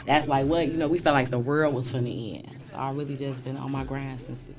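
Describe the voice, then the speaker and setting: A woman's voice: rumbling low, fairly even intonation, brisk, a crowd in the background. Laid-back woman comic, busy room behind. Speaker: guest comedian. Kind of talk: casual interview chat.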